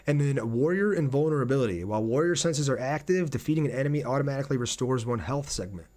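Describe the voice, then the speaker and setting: A man's voice: medium pitch, a little mellow. A man giving casual gameplay commentary, thinking aloud in an informal way as he plays. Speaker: gaming video host. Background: game music and sounds.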